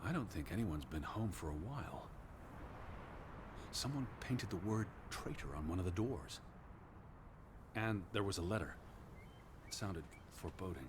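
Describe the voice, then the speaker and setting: A man voicing an earnest, anxious American naturalist in a video game, speaking in a measured, brooding, formal way. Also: sits low, lively intonation, noisy background. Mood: uneasy, puzzled. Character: earnest anxious American male naturalist searching for his sister. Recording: music behind the voice.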